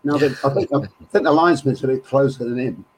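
A man, a guest on a casual chat show, hoarse and hesitant, often repeating words. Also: mid-range voice, fast, darkish tone, slight background noise, low-quality recording.

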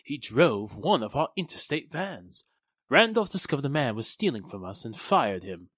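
A male actor performs an evasive businessman from a 1940s radio drama. His forceful voice swings widely in pitch with theatrical emphasis. The sound is close and dry. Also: big pitch swings, normal speed, husky, no room sound. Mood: dawning realization. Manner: theatrical, emphatic, faltering when challenged. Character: excitable, evasive businessman Mister Lawton, a murder suspect.

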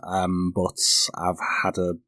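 Lowish guy, stuffed-up nasal, dry wit, dead dry mic. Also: monotone, slow pace, a bit bright, dry recording. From England. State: nasal from a cold. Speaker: podcast co-host. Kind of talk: casual football podcast chat.

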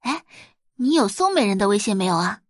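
A woman's voice: mid-range voice, big pitch swings, brisk, no echo, clean studio sound. A woman voicing a chatty, friendly teenage schoolgirl with a perky, eager manner in an audio drama.

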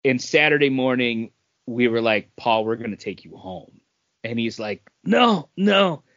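A man's voice: medium pitch, expressive, unhurried, a bit bright. A man chatting casually as a podcast host, telling anecdotes and acting out what people said. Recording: dull, echoey room sound.

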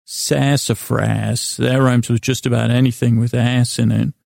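Gravelly man rambling soothingly, very creaky. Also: medium pitch, level tone, a little mellow, no echo, clean studio sound. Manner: meandering, halting, stumbling; soothing, lulling, mildly silly. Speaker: podcast host. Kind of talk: rambling bedtime monologue.

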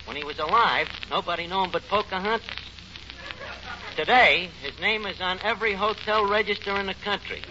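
An actor playing a self-pitying, grandiose tavern manager with a New York accent in 1940s radio comedy style. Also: male voice, sits high, fairly quick, lightly acted. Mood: grandly self-pitying. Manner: melodramatic, malaprop-prone, pompous. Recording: noisy, dull 1940s radio recording.

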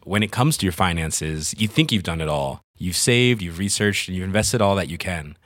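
A man giving a smooth, persuasive scripted advert voice-over. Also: low-pitched, animated, a little fast, fairly bright tone, no echo, clean studio sound, faint music behind. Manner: smooth, persuasive announcer read. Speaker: ad announcer.

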